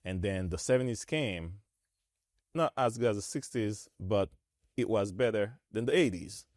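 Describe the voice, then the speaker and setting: A man in his thirties with a Haitian accent, hosting a relaxed discussion with his guests and laughing easily. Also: on the low side, normal speed, no echo, studio quality. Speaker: host. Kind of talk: conversational discussion.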